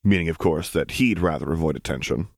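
A man's voice: very deep voice, a little fast, gravelly, dry recording, studio quality. A man reading scripted fiction aloud as an audiobook narrator.

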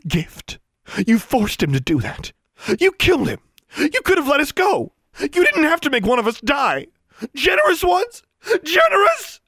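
A man's voice: extremely high pitch, huge pitch swings, measured pace, sharp tone, no room sound, pitched above the performer's own voice, exaggerated voice. A man voicing an anguished, defiant girl in a storybook, with a strained, shrieking voice. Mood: anguished accusation. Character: furious, anguished human girl, defiant and shrill.

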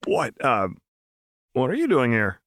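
A man's voice: mid-range voice, huge pitch swings, measured pace, a bit bright, no echo, studio quality, pitched above the performer's own voice. A man voicing a nervous, apologetic, loyal man in an audiobook, hesitant and earnest. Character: nervous, apologetic, put-upon ordinary man, loyal friend. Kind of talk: audiobook character voice.

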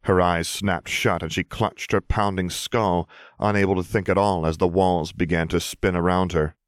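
A man reading a scripted story as narrator, in a measured, expressive way. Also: low-pitched, no room sound, studio quality. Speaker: audiobook narrator.

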